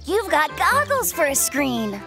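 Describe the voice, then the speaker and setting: Earnest, high-voiced little boy bear. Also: very animated, unhurried, gravelly, full character voice. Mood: encouraging. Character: earnest, friendly young male bear cub. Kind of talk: children's cartoon voice acting. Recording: music behind the voice.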